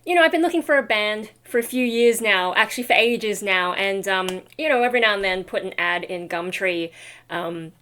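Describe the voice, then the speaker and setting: A woman's voice: mid-range voice, lively intonation, normal speed, a bit bright. A woman chatting casually for a vlog in a tired, rambly, self-deprecating way with trailing asides. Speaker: vlogger and metal vocalist.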